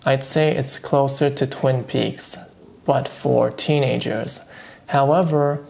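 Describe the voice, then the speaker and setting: A man with a gravelly, creaky voice chats on his own about what he's been watching. His delivery is flat and unhurried, low-key, with the odd dry aside. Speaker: vlogger reviewing streaming shows. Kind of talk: casual solo commentary.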